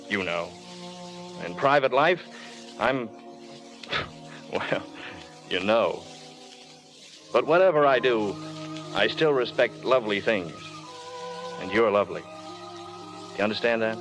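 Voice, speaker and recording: Charming, insistent movie star over music. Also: male voice, fairly low pitch, lively intonation, poor audio. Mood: tender, halting. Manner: halting, insistent, repeats himself. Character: charming, tipsy, persistent male movie star. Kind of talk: 1930s radio acting.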